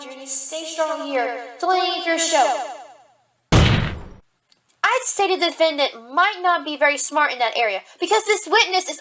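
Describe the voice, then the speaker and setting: A woman's voice: sits high, fairly bright tone, reverberant, far from the mic. A woman voicing a young female rabbit defense lawyer, earnest, flustered and determined, in an audio drama. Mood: flustered, muttering. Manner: earnest, self-doubting, emphatic.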